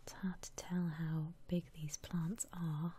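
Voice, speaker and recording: A woman whispering softly and breathily into a close microphone, musing aloud in casual ASMR gameplay commentary. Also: lowish voice, level tone, unhurried, a little hiss or hum. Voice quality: soft breathy whisper, almost entirely unvoiced. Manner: gentle, musing aloud to herself. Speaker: ASMR gamer narrating her house renovation gameplay.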